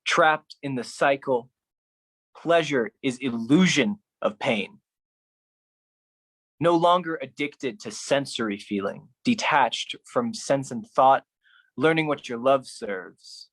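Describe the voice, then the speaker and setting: A man performing his own writing as a spoken-word solo artist, speaking deliberately with pauses. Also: mid-range voice, fairly bright tone. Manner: slow, deliberate delivery with pauses.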